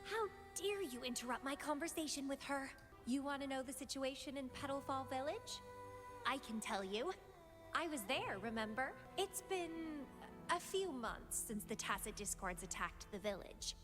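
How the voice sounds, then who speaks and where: High-voiced, teasing, obsessive young woman. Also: expressive, moderate pace. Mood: scornful, smug. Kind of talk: anime-style video game voice acting. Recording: loud music behind the voice. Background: music.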